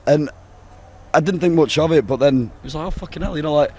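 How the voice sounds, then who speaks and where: A young man with a Northern English accent chats casually at a very quick pace. His talk rambles and is full of swearing and filler phrases. There is some outdoor town noise behind him. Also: a little high, darkish tone. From England. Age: 20s. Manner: sweary, rambling, peppered with "you know, like". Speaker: band member being interviewed. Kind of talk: casual chat. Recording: outdoor recording with urban ambient noise.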